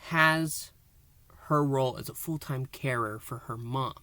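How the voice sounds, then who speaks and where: A man's voice: medium pitch, unhurried, pushed and bright. A man hosting a podcast, giving a casual solo review of an audio drama and thinking aloud with frequent restarts and asides.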